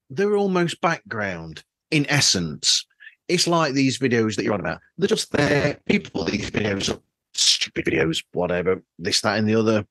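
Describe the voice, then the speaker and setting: A man's voice: medium pitch, fairly bright tone, no echo, rough recording. A man hosting a casual podcast chat, rambling and opinionated as he circles around his points. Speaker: podcast host.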